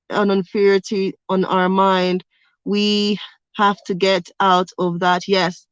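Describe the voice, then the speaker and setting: A woman's voice: medium pitch, dry recording, lo-fi sound. A young woman chatting casually on a livestream from a car, reading viewer comments aloud. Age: young adult. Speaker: vlogger. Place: inside a car on a road trip.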